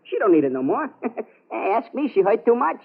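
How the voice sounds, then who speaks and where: An actor playing a jolly working-class installer in old radio drama, folksy and husky, chuckling as he talks. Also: very high-pitched, very animated, normal speed, phone-quality sound, exaggerated voice. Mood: jolly, boastful. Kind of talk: 1940s radio comic character acting. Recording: thin band-limited old radio recording.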